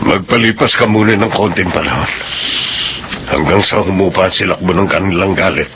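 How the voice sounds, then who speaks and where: An actor playing a sober middle-aged Filipino uncle who gives measured, admonishing advice in a radio drama. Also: male voice, sits low, background noise, close to an everyday voice. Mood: grave, advising.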